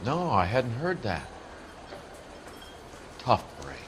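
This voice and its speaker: An actor playing an evasive, defiant lowlife crime suspect in a video game, defensive and slangy. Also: male voice, wildly swooping pitch, slow, a bit bright, slight background noise, exaggerated voice. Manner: defensive, slangy, hesitant when cornered. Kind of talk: dramatic video game acting.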